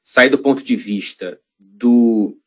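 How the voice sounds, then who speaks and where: A Brazilian man, a journalist guest on a podcast, telling a long story in a reflective, halting way with many restarts. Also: medium pitch.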